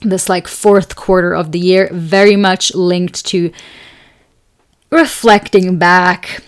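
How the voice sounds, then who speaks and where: This is a young woman from Switzerland hosting her astrology show. She chats casually, wandering between topics and filling gaps with "like" and "you know". Her voice is a little raspy and tired after long hours of talking. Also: lowish voice, steady tone, slow pace. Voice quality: slightly raspy. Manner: chatty, rambling, many fillers and laughs. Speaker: astrology podcast host. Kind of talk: casual solo talk and storytelling.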